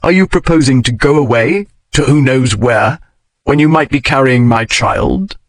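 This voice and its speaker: A man voicing a wry, devoted aristocratic gentleman in an audiobook, with understated, formal speech. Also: mid-range voice, moderate pace, lightly acted. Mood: firm. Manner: dry, understated period speech. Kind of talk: audiobook character reading.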